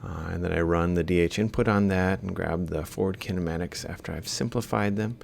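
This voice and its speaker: A man lecturing in a deep voice heavy with vocal fry. He explains things methodically, one step at a time, as if thinking aloud while he writes. There is some rumbly noise behind him. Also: a little fast, a little mellow. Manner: explanatory, step by step, reciting values piecemeal. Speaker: university lecturer. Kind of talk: instructional screen-capture lecture, thinking aloud while writing.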